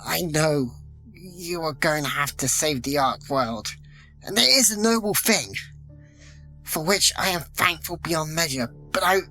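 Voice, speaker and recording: Man playing a troubled, sharp-voiced fantasy follower. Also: fairly high pitch, rough recording. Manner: formal, halting, grave. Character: troubled, deferential fantasy follower confessing grave doubts. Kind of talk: audio drama acting.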